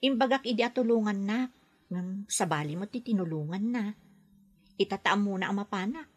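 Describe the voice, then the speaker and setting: A woman's voice: mid-range voice, expressive, moderate pace, breathy edge. A woman playing a nervous young nanny who is newly abroad in a radio drama, speaking hesitantly and with a flustered manner. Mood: resigned. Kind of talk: Ilocano radio drama acting.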